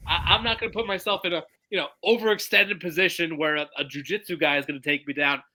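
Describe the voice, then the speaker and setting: A man's voice: on the high side, fairly even intonation, fast, sharp tone, poor audio. A man co-hosting a casual fight-betting podcast, talking emphatically, swearing and repeating his points. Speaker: podcast co-host.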